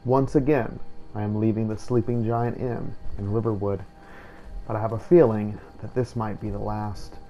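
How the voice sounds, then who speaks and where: A man's voice: lowish voice, steady tone, normal speed, warm tone, muffled recording, faint music behind. A man narrating a video game roleplay playthrough in a scripted, storytelling style. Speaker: host of a game playthrough series.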